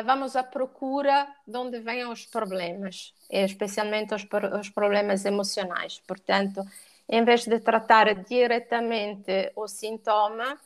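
A woman from Italy with an Italian accent, a pet behaviour coach speaking as a podcast guest, talking with frequent fillers and restarts. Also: mid-range voice. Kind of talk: podcast interview.

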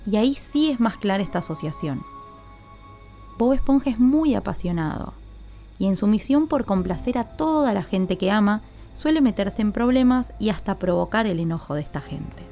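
Woman, mellow relaxed tone, medium pitch, scripted explanatory podcast narration. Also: normal speed, faint music behind. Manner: explanatory, addresses listeners directly. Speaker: podcast host.

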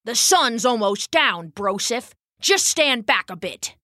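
A woman's voice: on the high side, very animated, takes it slowly, sharp tone, dry recording, clean studio sound, exaggerated voice. A woman voicing a laid-back male werewolf who talks like a surfer dude, in a storybook reading. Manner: surfer slang, drawling and easygoing.

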